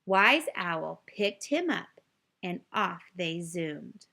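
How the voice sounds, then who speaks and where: A woman reading a children's story aloud, expressive and addressing young listeners directly as she narrates. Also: lowish voice, drawn-out words. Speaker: storybook reader.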